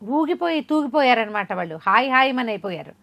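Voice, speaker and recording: Expressive woman telling a folk tale. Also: fairly high pitch, brisk, vocal fry. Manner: expressive, conversational, asks rhetorical questions while narrating. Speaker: storyteller. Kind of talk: animated oral storytelling of a folk tale.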